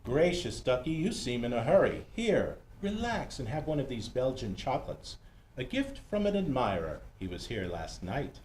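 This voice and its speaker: Casting: male actor as fussy, affectionate arty sidekick in a radio mystery, theatrical, very animated delivery, noisy recording. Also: medium pitch, normal speed, close to an everyday voice. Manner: theatrical, ornate, coy endearments. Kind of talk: old-time detective radio acting. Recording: roomy, noisy live stage recording.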